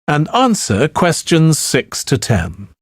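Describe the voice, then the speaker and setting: Slow, very animated male exam announcer. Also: fairly high pitch, dry recording, studio quality. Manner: formal, deliberate. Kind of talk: scripted test instructions.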